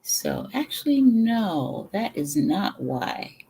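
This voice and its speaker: A woman's voice: mid-range voice, big pitch swings, slow pace, creaky, warm tone, a little hiss or hum, rough recording. A middle-aged woman from the Bronx with a New York accent, chatting casually with viewers on a home livestream and rambling between sips of her drink. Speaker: livestream host. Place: home room with brick wall.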